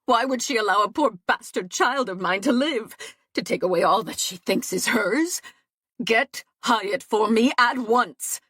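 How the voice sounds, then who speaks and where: A woman voicing a tearful, scheming young mistress, loud, shrill and demanding. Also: a little slow, husky, no echo, clean studio sound. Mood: accusing.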